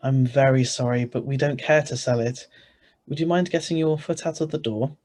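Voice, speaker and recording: A man's voice: medium pitch, fairly even intonation, normal speed, a little mellow, natural voice. A man playing a stubborn, greedy, ordinary husband in a radio thriller reading, with a wry, conversational, bossy manner. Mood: firm. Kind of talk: radio thriller narration and dialogue.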